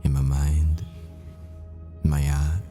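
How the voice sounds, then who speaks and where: A man playing a grieving, devoted professor boyfriend at the world's end in an intimate audio roleplay, speaking in hushed, halting, brooding phrases. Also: extremely low pitch, little pitch movement. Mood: anguished, defiant. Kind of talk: intimate ASMR boyfriend roleplay, dramatic monologue. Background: music.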